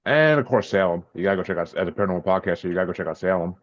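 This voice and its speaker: A man's voice: low voice, lively intonation, racing, dry recording, muffled recording. A man from Massachusetts, a podcast guest, chatting in a laid-back, hesitant way and often repeating his words. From Massachusetts, USA. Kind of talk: casual interview chat.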